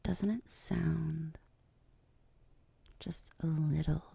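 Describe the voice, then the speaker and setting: Vampire queen , played by a woman: haughty and sardonic, lowish creaky voice, condescending audio-drama delivery. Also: steady tone, drawn-out words, warm tone, no room sound, heavily acted. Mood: sly, teasing. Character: haughty, powerful vampire queen, teasing and world-weary. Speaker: voice actor in an audio roleplay. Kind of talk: ASMR audio drama acting.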